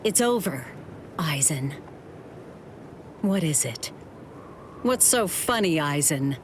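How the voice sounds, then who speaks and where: A woman's voice: animated, slow pace, a little hiss or hum. A woman playing a confident warrior in an anime game dub, firm, controlled and threatening. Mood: wary. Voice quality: low female voice.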